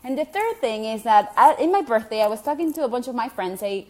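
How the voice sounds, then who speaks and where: A woman's voice: on the high side, lively intonation, a little fast. A woman from Venezuela with a Venezuelan Spanish accent, Spanish being her first language. She speaks as a gut health coach to her online group, chatty and encouraging, with many ums and restarts. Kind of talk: casual direct-to-camera talk to an online group.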